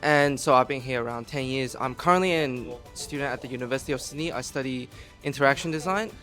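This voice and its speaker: A man's voice: mid-range voice, fast, sharp tone, faint music behind. A young man from China who is now a university student, answering in an easygoing, casual way. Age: young adult.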